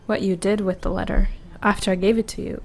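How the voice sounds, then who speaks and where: A woman playing a vulnerable young woman confessing love in an intimate ASMR roleplay, halting and hesitant, often repeating her words. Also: mid-range voice, level tone, natural voice. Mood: wistful, confused.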